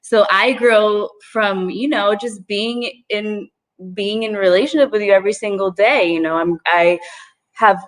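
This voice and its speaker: A woman's voice: mid-range voice, measured pace, low-quality recording. A young woman from Puerto Rico speaking thoughtfully as a panelist, with many "like" and "you know" fillers. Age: young adult. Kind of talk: casual panel discussion.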